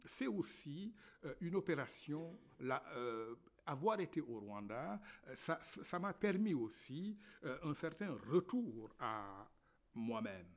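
Lively, halting man speaking. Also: fairly high pitch. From Senegal. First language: Wolof. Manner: halting, many fillers and repeated words. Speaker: novelist and university lecturer. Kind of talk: reflective panel talk.

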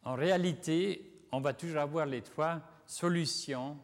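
A man from Switzerland, speaking a language that is not his native one, gives a measured, deliberate conference lecture with occasional slips. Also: on the high side. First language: not French. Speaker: guest lecturer. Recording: long reverb of a lecture hall.

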